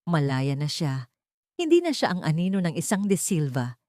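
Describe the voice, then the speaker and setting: A woman with a Filipino accent narrating a scripted dramatic story in a measured, sentimental, literary way. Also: deep voice, big pitch swings, mellow, no room sound, clean studio sound.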